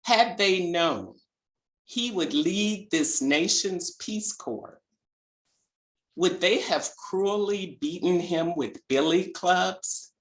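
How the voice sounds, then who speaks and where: A woman reciting poetry in a solemn, deliberate and emphatic manner, like a rhetorical address. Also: deep voice, roomy sound. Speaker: poet reading own work.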